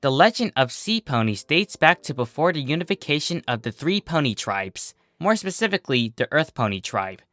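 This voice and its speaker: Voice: mid-range voice, big pitch swings, normal speed, hardly any pauses, a bit bright, no echo. A narrator voicing a sensible, easily irritated female earth pony with a dry, matter-of-fact manner. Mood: reading aloud.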